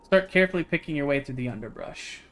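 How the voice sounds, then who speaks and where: A man's voice: on the high side, expressive, fast, fairly bright tone. A man livestreaming a game, chatting casually in a jokey, slangy way and reading its text aloud. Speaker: game streamer.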